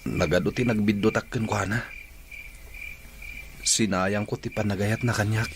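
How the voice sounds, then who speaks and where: An actor with an Ilocano Filipino accent playing a lovesick, brooding young student and respectful son in a radio drama. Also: male voice, on the low side, fairly even intonation, moderate pace, lightly acted. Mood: brooding, hurt. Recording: clearly noisy track with background ambience.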